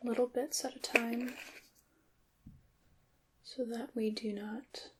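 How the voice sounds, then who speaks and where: A woman performing whispered ASMR as a gentle witch, speaking softly with slightly formal phrasing. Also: fairly high pitch, flat delivery, slow pace, a little hiss or hum. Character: gentle witch guiding a salt-making ritual.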